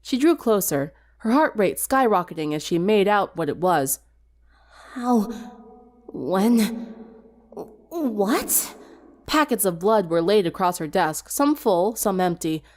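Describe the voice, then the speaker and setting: A woman's voice: fairly low pitch, animated, moderate pace, hardly any pauses. A woman reading a scripted story aloud as a dramatic narrator. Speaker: fan fiction narrator.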